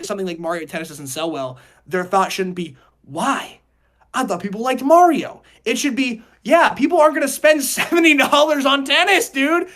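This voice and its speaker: High-voiced young man, emphatic. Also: fairly bright tone. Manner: emphatic, rambling, slangy. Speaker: podcast host. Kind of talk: casual opinionated podcast discussion.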